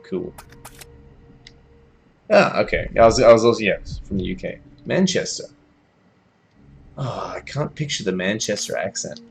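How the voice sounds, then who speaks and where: A man's voice: lowish voice, sing-song, a little slow, creaky, pauses often. A young Australian man with an Australian accent, thinking aloud and chatting with viewers while streaming a game. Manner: thinks aloud, chats with viewers, yelps when startled. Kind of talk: casual live gameplay commentary.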